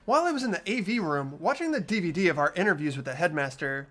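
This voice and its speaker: Man plays determined teen boy sleuth. High voice, animated delivery, pressing accusations. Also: quick pace, faint music behind. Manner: insistent, accusatory. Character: earnest, determined teenage boy detective. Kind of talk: amateur video game voice acting.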